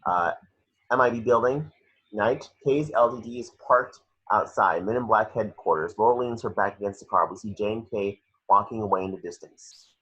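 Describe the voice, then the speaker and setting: Brief: male narrator for stage directions, low husky voice, home table read, muffled lo-fi sound. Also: normal speed, sharp tone. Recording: dull lo-fi home recording.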